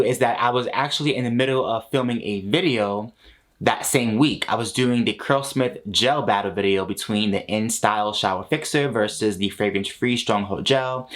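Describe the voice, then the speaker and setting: A man in his thirties, a vlogger, telling a personal story to camera in a lively, expressive way from a small home studio. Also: lowish voice. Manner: animated, expressive, laughs between lines.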